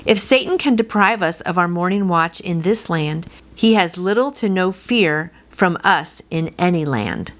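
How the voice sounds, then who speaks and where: Female devotional host, middle-aged, somewhat low voice; mixes reading aloud with informal, heartfelt encouragement. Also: expressive, a little slow, hardly any pauses. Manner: earnest, encouraging, personal direct address.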